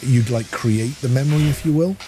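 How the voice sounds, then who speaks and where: A man giving casual playthrough commentary as a gaming host, thinking aloud in an unhurried way as he plays. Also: medium pitch, background noise.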